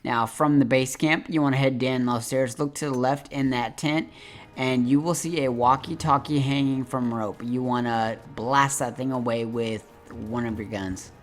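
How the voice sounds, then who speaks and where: Male game guide host, medium pitch, instructional and directive walkthrough delivery. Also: steady tone, normal speed. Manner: step-by-step, directive.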